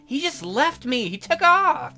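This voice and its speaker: A man's voice: very high voice, very animated, a little slow, gravelly, sharp tone, soft background music, pitched above the performer's own voice, exaggerated voice. A man voicing a polite, uneasy young woman in a visual novel, with a hesitant, guarded manner. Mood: shaken.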